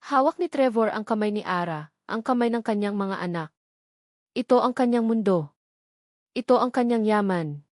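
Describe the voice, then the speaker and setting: A woman narrating a scripted romantic drama in a measured, sentimental storytelling manner. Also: medium pitch, lively intonation, no echo, studio quality.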